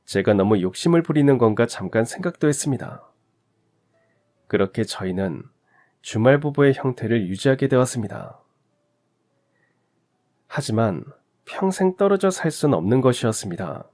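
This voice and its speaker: A man narrating a family story in a calm, reflective, confessional way. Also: medium pitch, normal speed, long pauses. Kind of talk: scripted radio story narration.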